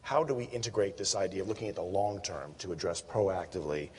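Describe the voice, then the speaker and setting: A man's voice: deep voice, fast, a little mellow, slightly rough. A man moderating a panel discussion. He is polite and directive, with some fillers. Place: conference hall. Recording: long hall reverb.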